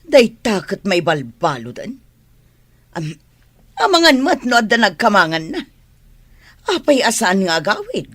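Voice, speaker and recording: A woman's voice: mid-range voice, very animated, a little slow, pauses often, a bit bright. A woman acting a suspicious, exasperated household worker, fretful and questioning in a radio drama.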